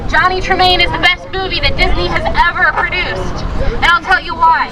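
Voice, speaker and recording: Very high-pitched woman addressing a rally. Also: brisk. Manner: declamatory, emphatic, repeats phrases for effect. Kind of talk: impassioned public rally speech. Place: outdoors at a street rally. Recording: distant voice amid loud outdoor crowd, traffic.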